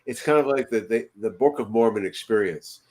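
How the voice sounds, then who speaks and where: A man's voice: on the low side, a little fast, a little mellow. An older man chatting casually on a podcast video call, telling anecdotes in an emphatic way and repeating words for emphasis. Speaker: podcast co-host. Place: room at home, video call.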